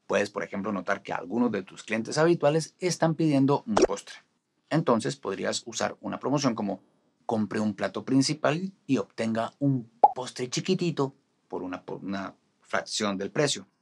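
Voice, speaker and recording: A man in his forties explaining restaurant marketing to camera in a studio, with a steady, instructive delivery. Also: on the low side, moderate pace, creaky, slight background noise. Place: studio in front of a green screen.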